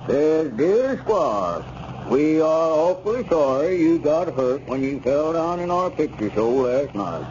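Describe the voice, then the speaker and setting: An actor playing a self-important, scheming, aggrieved small-town squire in 1940s radio comedy style. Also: male voice, on the high side, big pitch swings, slow. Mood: smug. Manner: pompous. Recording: noisy, muffled old radio recording.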